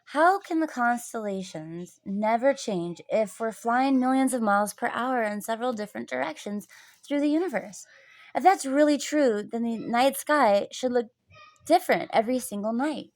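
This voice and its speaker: A woman's voice: fairly high pitch, expressive, brisk, a little mellow, close to an everyday voice. Woman reading as insistent, inquisitive storybook schoolboy. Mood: frustrated. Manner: insistent, questioning. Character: inquisitive, stubborn schoolboy challenging what he is taught.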